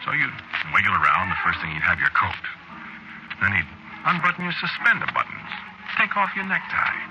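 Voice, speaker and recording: A man's voice: lowish voice, wildly swooping pitch, fairly quick. A man acting in a vintage radio drama as a hard-headed, rattled fellow narrating his nightmare, hesitant and stammering in colloquial speech. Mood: wry, disbelieving. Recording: noisy dull vintage radio recording.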